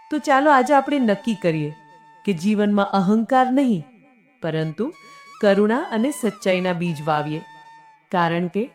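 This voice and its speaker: Earnest woman storyteller over music. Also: mid-range voice. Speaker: storyteller and channel host. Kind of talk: scripted moral story narration. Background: background music.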